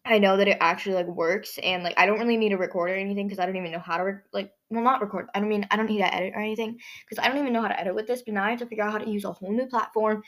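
A teenage girl hosting her own podcast in a rambling casual chat, her voice hoarse and scratchy from overuse. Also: medium pitch, fairly even intonation, racing, hardly any pauses. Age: teens. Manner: rambling, many fillers and restarts. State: hoarse, strained voice. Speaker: podcast host.

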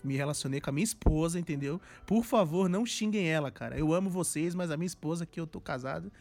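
A man's voice: on the high side, fast, creaky, darkish tone, faint music behind. A young man, chatting and joking on a podcast, rambling and repeating himself in slangy street talk. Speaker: podcast co-host. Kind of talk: casual joking chat.

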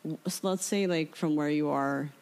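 A woman's voice: deep voice, moderate pace, a little mellow. An American woman hosting a casual interview, informal and joking in how she talks. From United States, Illinois. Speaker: podcast host.

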